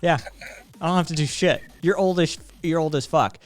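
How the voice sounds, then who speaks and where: A man's voice: on the high side, moderate pace, faint music behind. Laid-back profane streamer man. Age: late 30s. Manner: profane, rambling, laid-back with frequent fillers. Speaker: streamer host. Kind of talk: casual live-stream chat.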